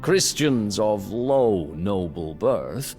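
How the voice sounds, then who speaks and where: A man's voice: lowish voice, lively intonation, a little mellow. Proud, bitter captive nobleman, cavernous reverb. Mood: sardonic, declaiming. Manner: haughty, theatrical, deliberate. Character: bitter, proud imprisoned Lithuanian nobleman recounting his downfall. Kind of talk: dramatic storytelling narration for a strategy game cutscene. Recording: long reverberant hall, music underneath.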